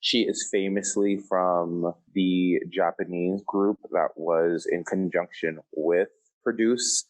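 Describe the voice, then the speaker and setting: A low-voiced man in casual podcast conversation. His pitch sits well down in the male range, and he talks informally as a co-host. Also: fairly even intonation, takes it slowly, few pauses, rough recording.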